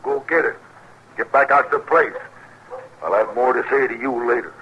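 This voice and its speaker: A male actor plays a bullying American husband in a 1940s radio western. He is menacing and quick-tempered in a medium-pitched voice. The old recording is muffled, with faint noise. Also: natural voice. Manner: menacing, domineering.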